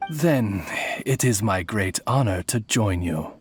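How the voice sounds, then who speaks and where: A man's voice: lowish voice, animated, takes it slowly, darkish tone, slight background noise. Courtly male raven butler. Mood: courteous. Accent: German words sprinkled into English. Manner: ornate, deferential, butler-like formality. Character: courtly male talking raven servant, formal and flattering. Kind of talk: anime-style video game voice acting. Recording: game music behind the voice.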